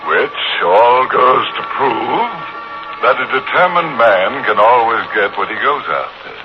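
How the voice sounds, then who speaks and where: An actor playing a wry, mock-sinister host of a 1940s radio mystery show. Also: male voice, medium pitch, lively intonation, unhurried, music in the background, lightly acted. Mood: ghoulish amusement. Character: sardonic, macabre male mystery-show host. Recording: dull, noisy 1940s radio broadcast.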